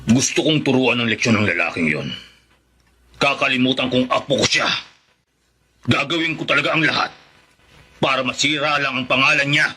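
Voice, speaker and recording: A man's voice: medium pitch, moderate pace, long pauses, background noise, close to an everyday voice. A man acting a jealous, stubborn old grandfather and ex-governor in a Filipino radio drama, with an indignant, emphatic delivery. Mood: vengeful, scheming.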